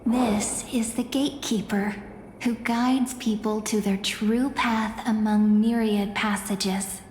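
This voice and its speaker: Game casting: mystical young female fortune-teller, gentle, fairly high, slow, echoing. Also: steady tone, slight background noise. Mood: serene, mystical. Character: gentle young female oracle card reader, serene, mystical. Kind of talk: scripted game voice acting. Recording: echoing hall reverb, faint music.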